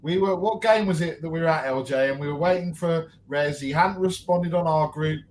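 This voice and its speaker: A man's voice: fairly high pitch, fairly quick, a little mellow, slight background noise. A man on a fan podcast panel, bantering casually and teasing the others.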